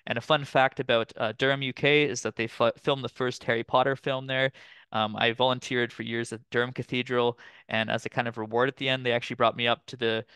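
A man from Canada in his thirties giving a relaxed, chatty academic presentation over a video call, with frequent fillers. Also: mid-range voice, a little fast, clear, resonant tone, no room sound. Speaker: guest lecturer. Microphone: computer mic on video call.

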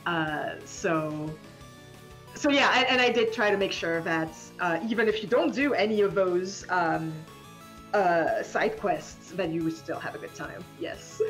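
A woman game developer chatting casually as an interview guest, rambling in an animated, giggly, hesitant way. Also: on the low side, a little slow, soft background music. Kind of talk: casual spoken interview chat.

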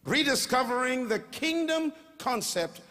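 An older Bahamian man preaches from a large stage into a handheld mic. His voice sits high and rises to shouts as he calls on the crowd to answer. A long reverb from the hall trails each phrase. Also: lively intonation, unhurried. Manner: preaching with call-and-response, rising to shouts. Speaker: pastor. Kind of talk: sermon.

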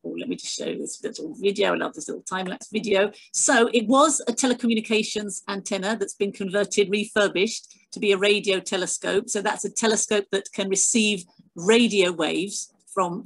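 A woman's voice: medium pitch, moderate pace, creaky, poor audio. A middle-aged British woman giving a chatty online talk on a video call, full of fillers and restarts. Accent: British English. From Britain. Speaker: guest speaker, astronomy educator. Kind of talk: online presentation with slides.

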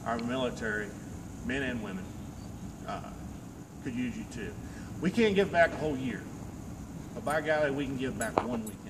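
A man's voice: medium pitch, big pitch swings, moderate pace, long pauses. A middle-aged man giving a folksy, earnest promotional address to camera as an amateur angler. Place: large indoor garage or hall with concrete floor. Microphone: camera a few metres away. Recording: echoey hall, distant camera mic, loud hum.

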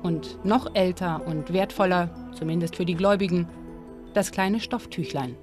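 A woman giving calm, measured scripted narration for a documentary. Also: on the low side. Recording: studio voice-over with clear music underneath.

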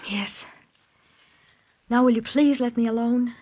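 A woman's voice: on the high side, unhurried, mellow, slightly rough. An actress playing a defensive, desperate young woman in 1940s radio drama style, pleading and fierce. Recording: muffled 1940s radio broadcast.

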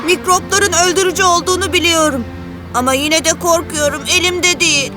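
A stubborn, frightened young boy in a cartoon. The voice is high and whines with worry over clear background music. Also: fairly even intonation, a little fast. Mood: anxious. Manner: whining, anxious. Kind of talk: children's cartoon acting. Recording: music behind the voice.

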